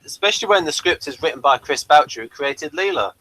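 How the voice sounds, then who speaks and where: A man's voice: on the high side, lively intonation, quick pace, fairly bright tone, slight background noise, low-quality recording. A man co-hosting a review show, talking in a casual, conversational style.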